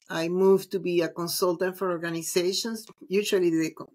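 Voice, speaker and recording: A middle-aged woman from Venezuela, a psychologist and mindfulness teacher, talking reflectively with frequent ums as a guest on a video call interview. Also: on the low side. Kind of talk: casual interview conversation.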